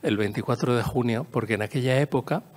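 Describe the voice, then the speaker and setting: A man's voice: medium pitch, fast. A man from La Mancha with a Castilian Spanish accent giving a discursive literary lecture, repeating phrases and adding storytelling asides and little tag questions. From La Mancha, Spain. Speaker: conference speaker, poet.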